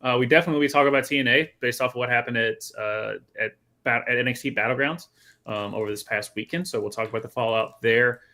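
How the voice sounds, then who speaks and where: A man co-hosting a casual sports podcast, joking around and acting out quotes in an animated way. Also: mid-range voice, fast talker. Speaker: podcast co-host.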